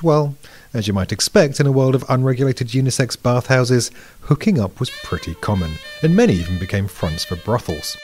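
A low, slightly husky British man reads a scripted podcast explainer. His delivery is measured and dryly witty, with a lively rise and fall through each line.

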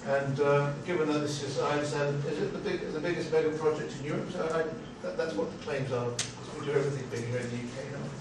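An older man hosting a seminar with an informal, rambling, halting and jokey introduction. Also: a little high, steady tone. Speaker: seminar host introducing a speaker. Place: small seminar room. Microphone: far camera microphone. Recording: distant mic, echoey noisy room.

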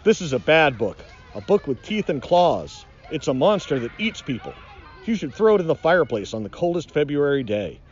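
A man's voice: on the high side, big pitch swings, normal speed, lo-fi sound. A middle-aged man reading a children's storybook aloud in a dramatic, mock-ominous way. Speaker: nature educator reading aloud.

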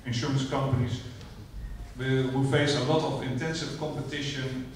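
A man's voice: medium pitch, level tone, normal speed, a little hiss or hum. Dutch guy presenting on stage, lots of uhs, sounds far off in a super echoey theater. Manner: hesitant, with frequent uhs. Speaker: company representative presenting. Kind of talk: conference presentation.

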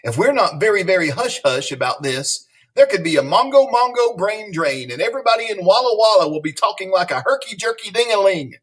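A man's voice: sits high, very animated, brisk, slightly rough, distant mic, pitched above the performer's own voice, full character voice. A man voicing a bossy, conspiratorial woman school nurse in a storybook, urgent and emphatic with rhyming slang.

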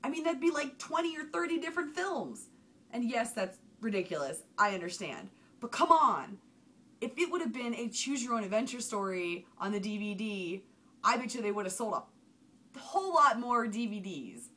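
A woman's voice: fairly high pitch, normal speed. A young woman talking casually to her webcam at home, chatty and emphatic as she gives a movie review. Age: young adult. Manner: chatty, animated hand gestures, emphatic outbursts. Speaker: vlogger. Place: small home room with shelves. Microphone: webcam at desk.

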